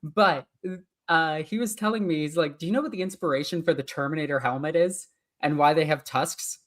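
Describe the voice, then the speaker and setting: A woman from Iceland with an Icelandic accent, co-hosting a casual podcast as an enthusiastic, gushing fan who is quick to laugh. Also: low-pitched. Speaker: podcast co-host. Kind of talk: casual chat.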